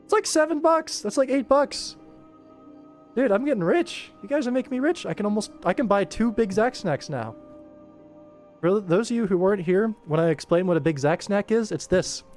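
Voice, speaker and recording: A man's voice: high-pitched, expressive, normal speed, soft background music. A man casually commentating on his animation work stream, thinking aloud and chatting informally with viewers. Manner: informal, rambling to himself and viewers.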